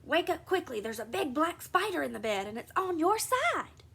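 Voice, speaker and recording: A woman's voice: very high voice, big pitch swings, moderate pace, creaky, sharp tone, voice pushed up, heavily acted. A woman voicing a little girl who teases her twin with a mock-scary, urgent tone.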